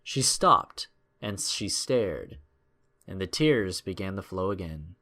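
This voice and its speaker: A man narrating a scripted adventure story in a measured, expressive, dramatic storytelling style. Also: deep voice.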